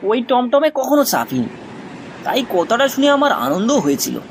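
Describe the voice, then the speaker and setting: A man narrating a spooky cartoon tale expressively, with hesitant pauses. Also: very high voice, sing-song, slight background noise. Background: music.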